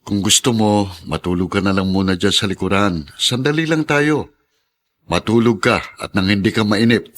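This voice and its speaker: A man's voice: fairly low pitch, normal speed, lightly acted. A man in a radio drama playing an honest, kindly taxi driver father who speaks reassuringly. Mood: earnest, reassuring.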